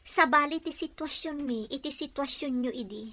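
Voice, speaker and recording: A woman's voice: high-pitched, normal speed, pushed and bright. A woman with a Filipino accent acting a sincere, devoted young bride-to-be in an amateur audio drama. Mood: pleading. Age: young adult.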